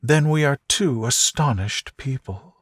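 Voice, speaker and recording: A man voicing a witty, tender young gentlewoman in love in a soft, slow bedtime storybook. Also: medium pitch, lively intonation, slightly rough, dry recording, clean studio sound, voice pushed up. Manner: soft, playful, slow and deliberate. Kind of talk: soothing bedtime storybook dialogue.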